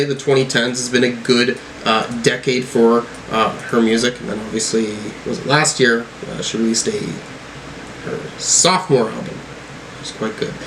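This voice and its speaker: A man hosts a casual music reaction, thinking aloud as he goes. His voice sits at a middling pitch, and some noise runs behind it. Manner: musing, thinking aloud while reading out lyrics. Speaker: host of music reaction videos.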